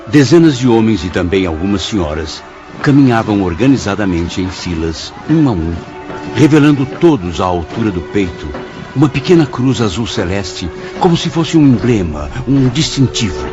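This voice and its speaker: A man's voice: on the low side, very animated, moderate pace, highs cut off. A Brazilian actor playing an anguished, wary condemned man who recounts his torment in a radio drama, recorded dully over music. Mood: grave. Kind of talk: dramatic radio-novel acting and narration.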